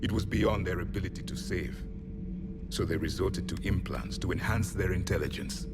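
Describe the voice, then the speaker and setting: A man's voice: low voice, moderate pace, very noisy, natural voice. A man voicing a grave, bitter alien warrior in a video game, speaking in solemn, emphatic declarations. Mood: grim, scornful. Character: grave, bitter ancient alien warrior, deeply distrustful of machines. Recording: loud music behind the voice. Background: loud music.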